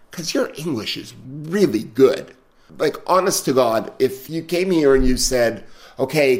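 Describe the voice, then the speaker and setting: A man's voice: mid-range voice, a little mellow. Blunt male pronunciation coach. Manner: blunt, repeating corrections. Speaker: pronunciation teacher, linguistic consultant. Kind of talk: spontaneous classroom coaching.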